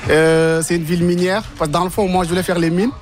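A man's voice: high voice, little pitch movement, quick pace. A man from Senegal answering a street interview, hesitant with fillers.

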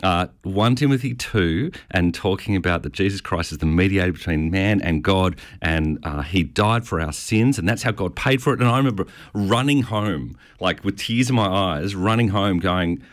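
A man's voice: deep voice, moderate pace, few pauses. A middle-aged Australian man with a stutter, answering interview questions in an informal, self-deprecating, storytelling way. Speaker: pastor.

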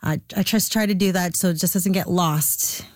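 A woman's voice: on the low side, steady tone, normal speed, darkish tone, dry recording, clean studio sound. A woman answering conversationally as a guest in a radio interview.

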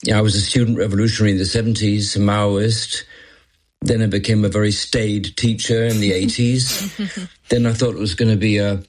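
A man's voice: fairly low pitch, steady tone, brisk. A man in his sixties, born in London and raised in Malaysia, a journalist guest joining remotely and looking back on his career with self-deprecating humor. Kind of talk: interview. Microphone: remote connection.

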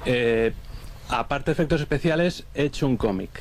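A man from Bilbao, an audience contestant on a radio quiz, calm and modest. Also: mid-range voice, normal speed, slight background noise. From Bilbao, Spain. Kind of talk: casual chat.

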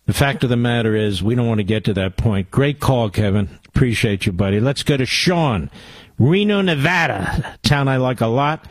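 A man's voice: mid-range voice, no room sound. A man with an American accent hosting a political call-in radio show, speaking in an emphatic, blunt manner. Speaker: radio talk show host.

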